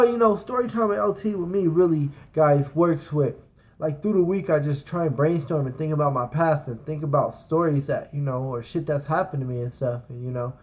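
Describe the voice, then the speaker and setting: A man's voice: a little high, a little mellow. A man telling a casual, rambling personal story as a vlogger, slangy and emphatic, with plenty of swearing.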